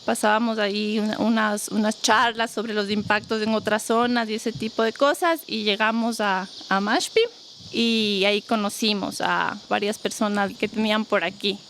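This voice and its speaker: A woman, a guest in a casual interview, talking in a thoughtful, steady way about her family farm. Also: medium pitch, moderate pace, a little hiss or hum. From Ecuador. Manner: thoughtful, steady, often uses connecting fillers. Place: rural farm, crickets and insects around.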